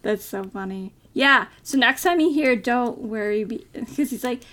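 Woman podcast co-host, high voice, unhurried, playful and quick to laugh. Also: lively intonation, fairly bright tone. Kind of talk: casual chat.

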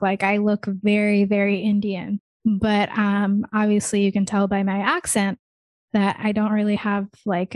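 A woman's voice: medium pitch, no echo. A woman in her twenties from Michigan, speaking earnestly in casual conversation with many "like" fillers. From Michigan, United States.

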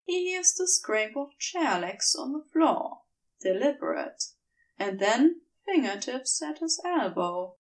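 Female audiobook narrator, lightly distant mic. Unhurried, expressive, with very animated intonation. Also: high-pitched. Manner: measured, expressive storytelling. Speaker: fan fiction audiobook reader. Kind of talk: scripted story narration.

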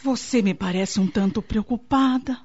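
A woman with a Brazilian accent playing a caring, protective middle-aged mother-in-law in a radio soap opera, speaking in a measured, theatrical, motherly way. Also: on the high side, a little mellow, natural voice. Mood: motherly concern. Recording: dull lo-fi radio drama, music underneath.

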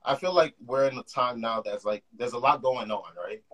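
Young male podcast host, husky tone, eager and loose delivery. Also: mid-range voice, moderate pace, a bit bright, poor audio. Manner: enthusiastic, talks in loose run-on phrases. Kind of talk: casual conversation. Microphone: call.

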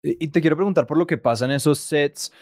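A man's voice: a little high, quick pace, a little mellow, no room sound, clean studio sound. A man interviewing a guest in a casual chat, talking in a reflective way and building his points with images.

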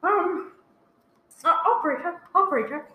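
A woman's voice: extremely high pitch, expressive, moderate pace, phone-quality sound. A woman acting a panicked invalid wife alone in her house in a radio play, stammering and pleading frantically. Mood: desperate, frightened. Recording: roomy, echoey, faintly noisy live recording.

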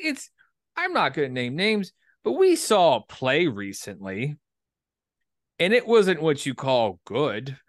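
A man's voice: mid-range voice, a bit bright, no room sound, studio quality. A man hosting a podcast in casual chat, rambling hesitantly with a self-deprecating streak. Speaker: podcast host.

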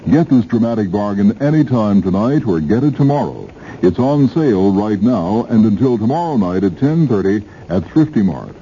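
A man's voice: lowish voice, expressive, moderate pace, dark tone. A man working as a radio announcer, reading a scripted retail advertisement with emphatic salesman delivery. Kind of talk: scripted local supermarket ad read. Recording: muffled, hissy 1970s radio recording.

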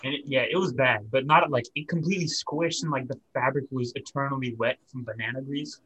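A man's voice: mid-range voice, fairly even intonation, a little fast, bright, forceful tone, husky, rough recording. A young man casually telling an anecdote to friends as a podcast co-host.

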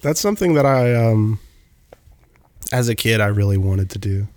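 A man's voice: fairly low pitch, lively intonation, moderate pace, a little mellow. A man in his twenties chatting casually, rambling with lots of "like" and fillers. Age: 20s. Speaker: musician guest. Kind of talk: casual chat.

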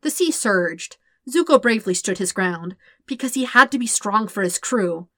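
A woman reading a story aloud as an audiobook narrator, with expressive scripted delivery. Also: medium pitch, moderate pace, no echo, clean studio sound. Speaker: podfic narrator.

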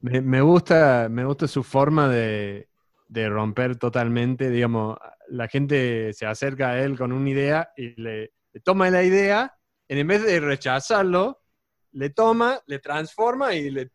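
A man from Texas, on as the guest in a relaxed chat. He speaks haltingly, stopping and restarting as he hunts for each word. His voice is recorded close and dry, with no room sound. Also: medium pitch, no room sound. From Texas, United States. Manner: halting, searching for words. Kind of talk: casual podcast chat.

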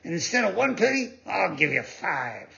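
A man's voice: sits high, big pitch swings, moderate pace, vocal fry, sharp tone, pitched above the performer's own voice, full character voice. A man voicing a cheerful bricklayer with a lifted, mocking tone. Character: cheerful adult male bricklayer. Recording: dull lo-fi recording.

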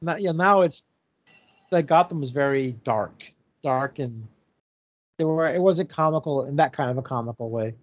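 A man's voice: fairly high pitch, normal speed, no echo. A man in his fifties co-hosting a podcast, joking and laughing in casual chat. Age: 50s. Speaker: podcast co-host. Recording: dull lo-fi sound.

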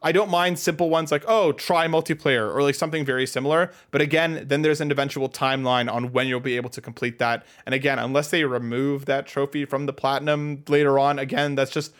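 Fast-talking man co-hosting a gaming podcast. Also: mid-range voice, fairly even intonation. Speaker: podcast co-host. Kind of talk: casual gaming podcast talk, reading out listener comments.

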